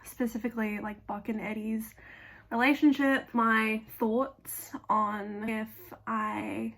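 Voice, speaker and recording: A young woman talks through her fan commentary in a gravelly, croaky voice that is still hoarse after being ill. Her pitch sits a little high, and she speaks bluntly and casually, with plenty of fillers. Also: flat delivery, takes it slowly, a bit bright. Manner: chatty, blunt, swears casually, many fillers. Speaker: video essayist.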